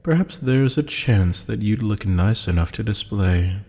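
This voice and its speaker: A man voicing a slow, gravelly, predatory demon. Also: lively intonation, warm tone, heavily acted. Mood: taunting, menacing. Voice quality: low, gravelly, husky. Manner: slow, taunting, mock-polite. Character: teasing, haughty, predatory male demon buyer. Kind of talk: ASMR roleplay audio drama. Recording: long hall-like reverb.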